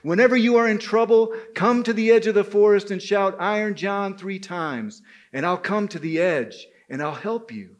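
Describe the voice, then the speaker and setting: A storyteller, a man, voices a mighty wild man of the woods in an oral folk tale. He is stern yet kindly, with a somewhat high voice and solemn, commanding delivery. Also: moderate pace, few pauses, close to an everyday voice. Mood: solemn blessing.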